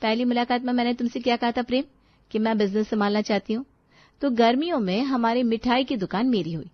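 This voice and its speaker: A woman voicing a pampered, spirited young daughter-in-law in a story, animated and questioning. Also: fairly high pitch, fast, no room sound, dull audio, pitched above the performer's own voice, lightly acted. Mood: confident, determined.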